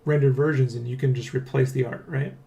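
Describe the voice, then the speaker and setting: A man hosting a casual dev blog, talking in a rambling, excitable way and often checking for agreement. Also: mid-range voice, brisk, vocal fry. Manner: rambling, keeps seeking agreement with "right?" and "you know?". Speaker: dev blog host and comic artist. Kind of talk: casual dev vlog chat, addressing viewers and co-worker.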